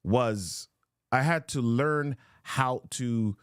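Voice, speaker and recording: Man, motivational host, emphatic and repetitive, middle pitch, dry close sound. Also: drawn-out words, clear, resonant tone, no echo. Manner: emphatic, repeats key phrases as questions. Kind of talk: motivational live-stream talk.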